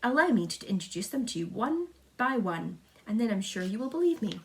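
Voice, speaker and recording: A woman's voice: medium pitch, very animated, fairly quick, creaky. Woman playing an eager boy reciting proud rhymes. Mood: earnest, proud. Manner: sing-song rhyming delivery, showman-like. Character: eager, polite young boy proudly presenting his friends.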